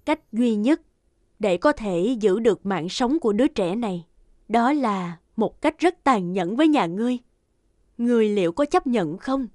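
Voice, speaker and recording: A woman voicing a stately sea goddess in a cartoon fairy tale, speaking in a grave, measured, formal way. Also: a little high, animated, no echo, studio quality, close to an everyday voice. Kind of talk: animated fairy tale voice acting. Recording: loud music under the voice.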